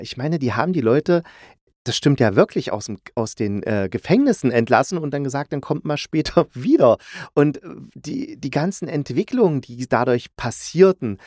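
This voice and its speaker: A man with a medium-pitched voice hosts a podcast in a loose, conversational style. He is playful and jokey, correcting himself as he goes. The sound is close and dry. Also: darkish tone, dry recording. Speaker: podcast host and audio drama reviewer. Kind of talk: casual, chatty solo review.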